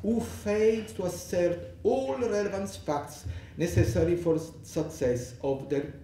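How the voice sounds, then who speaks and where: An Italian-accented man talks through a legal comparison at a university panel, stumbling and restarting often. His pitch is fairly high and swings widely. The sound is roomy and picked up from a distance. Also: expressive, warm tone, a little hiss or hum. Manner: halting, many restarts and fillers. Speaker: panel speaker on law. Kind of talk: academic conference talk. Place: lecture hall. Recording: echoey hall, distant mic.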